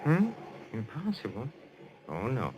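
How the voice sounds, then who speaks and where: An actor playing a suave, self-assured French detective posing as a medium, with a French accent and a deliberate, theatrical 1940s radio style. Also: male voice, mid-range voice, wildly swooping pitch, muffled recording. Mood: confident, explaining. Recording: noisy vintage radio recording.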